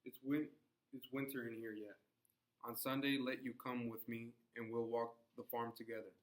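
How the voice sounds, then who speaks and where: An actor playing a brooding farmer husband in a stage drama, gentle at times and indignant at others. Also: male voice, medium pitch, flat delivery, moderate pace, lightly acted. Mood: gentle, wistful.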